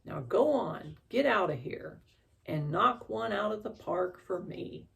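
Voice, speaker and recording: A woman voicing a gruff but kindly male magical helper in a children's storybook, with brusque, slangy talk. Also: fairly low pitch, animated, slow pace, distant mic, heavily acted. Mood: gruff encouragement.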